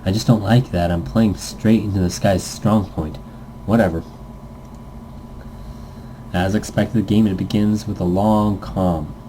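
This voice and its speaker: Low, very mellow man reading aloud. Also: fairly even intonation, a little slow, long pauses, slight background noise, low-quality recording. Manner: stumbles over lines, adds goofy nonsense sounds. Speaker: let's player reading a visual novel aloud. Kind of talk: casual game read-aloud with asides.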